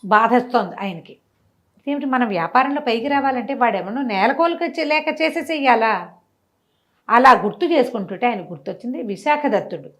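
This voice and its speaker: A woman telling a moral story conversationally, with animated delivery, rhetorical questions and everyday examples. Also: fairly high pitch, brisk. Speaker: storyteller, interview guest.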